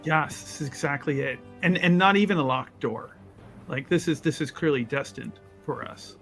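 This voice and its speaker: A man's voice: fairly high pitch, moderate pace, fairly bright tone, soft background music. A man chatting casually as a player in a tabletop roleplaying game, slipping partly into character.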